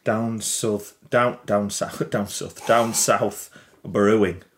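A man's voice: on the low side, steady tone, takes it slowly, a little hiss or hum. A young man with a Northern English accent co-hosting a casual podcast, chatty and jokey, often repeating and restarting words. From England. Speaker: podcast co-host. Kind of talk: casual chat.

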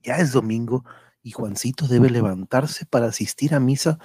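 A man with a husky voice narrating a scripted radio drama as a storyteller. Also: mid-range voice, moderate pace, dark tone.

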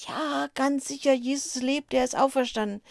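Woman doing a frightened woman disciple, storybook voice, hushed, whispery, high-pitched, dry close sound. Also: fairly even intonation, normal speed, creaky, no room sound. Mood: anxious. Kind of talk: storybook character voice.

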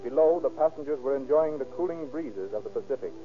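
A man's voice: medium pitch, expressive, a little fast. An American man reading scripted announcements and narration in an emphatic 1930s radio announcer style. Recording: tinny 1930s radio recording, music behind.

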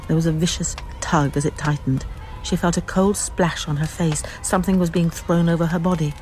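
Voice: medium pitch, steady tone, fairly quick, darkish tone, low-quality recording. A narrator telling a radio drama in scripted, dramatic storytelling style.

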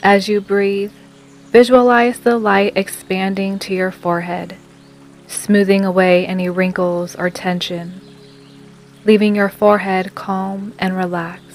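A woman guiding a scripted meditation in a soothing, measured manner with long pauses. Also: mid-range voice, little pitch movement. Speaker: meditation guide and podcast host. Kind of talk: guided meditation, scripted narration. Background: music.

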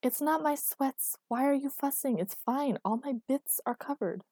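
A woman's voice: mid-range voice, brisk, a little mellow, no echo, clean studio sound, natural voice. A woman voicing an irritable, sleepy, stubborn young woman with a whiny, grumbling manner in an audiobook. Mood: indignant, stubborn. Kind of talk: acted audiobook dialogue.

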